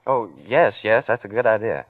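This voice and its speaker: A man's voice: lowish voice, normal speed, no echo, narrow, tinny audio, lightly acted. An American actor playing an uneasy, guilty man who tells his own story in a wry, hardboiled 1940s radio thriller style. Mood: nervous, defensive. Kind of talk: 1940s radio thriller acting, first-person narration. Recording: old radio recording, band-limited, faint music.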